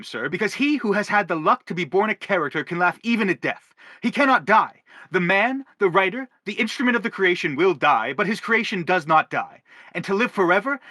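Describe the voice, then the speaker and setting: A man's voice: high-pitched, bright, forceful tone, no echo, clean studio sound. An actor playing an earnest, courteous father character in a radio stage play, speaking formally and with pleading eloquence.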